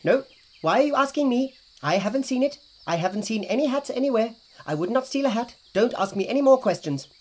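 Storybook rabbit, played by a man: defensive, hurried, indignant, pitched high. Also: animated, a little mellow. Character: defensive, shifty male rabbit, hastily denying everything. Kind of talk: children's storybook read-aloud.

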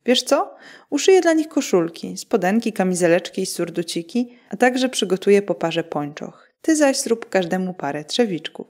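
A woman's voice: mid-range voice, very animated, normal speed, a little mellow, natural voice. Kindly, eager shoemaker's wife, read by a woman narrator. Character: kindly, grateful shoemaker's wife planning gifts.

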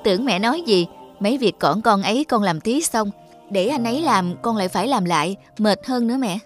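A woman's voice: mid-range voice, animated, brisk, close to an everyday voice. A young woman playing a tired, earnest wife and mother in a cartoon, plain-spoken and defensive. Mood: weary, defensive. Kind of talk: cartoon voice acting. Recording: music under the voice.

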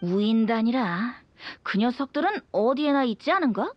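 High, boyish young bard. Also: female voice, expressive, moderate pace, dry recording, muffled recording, soft background music. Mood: playful. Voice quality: boyish, light. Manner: playful, lilting. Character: carefree teasing young male bard, breezy and reassuring. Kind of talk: animated video game voice acting.

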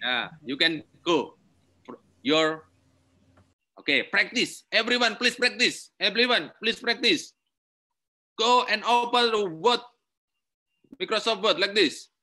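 A man's voice: high voice, animated, bright, forceful tone. A man with an Indonesian accent, a lecturer giving a webinar tutorial in simple English and saying "okay" often. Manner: slow, repetitive, punctuates with "okay"; simple non-native English. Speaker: university lecturer. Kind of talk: webinar tutorial, screen-share demonstration.

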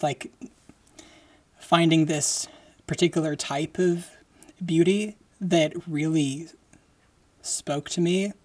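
Young adult solo podcaster, mid-pitched, slow and halting, close-mic home recording. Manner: halting, many fillers and restarts; speaks slowly with lively hand gestures. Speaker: podcast host. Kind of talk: casual solo podcast chat. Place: decorated home room, indoors. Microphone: large studio mic close in front.

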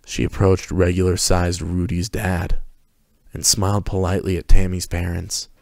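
A man's voice: sits low, level tone, normal speed, creaky, a little mellow, no echo. A man narrating prose fiction as a dramatic reading.

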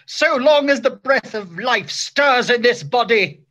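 A woman's voice: on the high side, expressive, measured pace, a bit bright. A woman playing a lovestruck, foolish male stage hero with overblown theatrical delivery in an old-style radio play. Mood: melodramatic. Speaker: actor. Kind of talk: 1930s radio acting.

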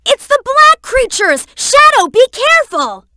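Game role: young boy fox sidekick, very high, lively, eager and clever, careful explainer, dry studio sound. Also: unhurried, dry recording, exaggerated voice. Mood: excited, urgent. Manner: earnest, instructive, explains carefully. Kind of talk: video game voice acting.

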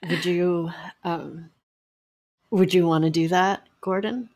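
A woman's voice: fairly low pitch, steady tone, takes it slowly, darkish tone. An actress in an audio play playing a kindly, hesitant woman in a quirky family, acted naturalistically. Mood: sympathetic, hesitant. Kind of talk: naturalistic comedy acting.

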